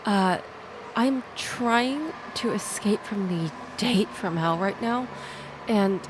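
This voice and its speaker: Shaken young woman, played by a woman for audio roleplay: self-deprecating, grateful, breathy sighs, mid-pitched, taking her time. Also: slow, background noise, close to an everyday voice. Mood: anxious, pleading. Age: young adult. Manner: rambling, breathy sighs, wry asides. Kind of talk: intimate audio roleplay acting addressed to the listener.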